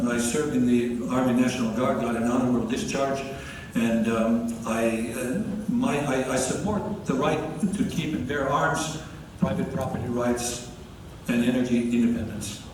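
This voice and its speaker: An older man from Finland, a candidate giving a wry, folksy debate opening with halting restarts. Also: mid-range voice. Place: debate hall. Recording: echoey hall from far off, noisy.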